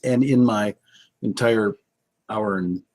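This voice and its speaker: A man co-hosting a casual business chat with a husky, rough-edged voice, piecing his sentences together haltingly. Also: fairly low pitch, a little mellow. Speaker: co-host and business speaker.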